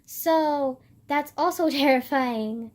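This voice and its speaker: A young woman vlogger with a soft voice, talking in a rambling, hesitant way full of filler words. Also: high-pitched, slow pace. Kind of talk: personal reflective vlog monologue.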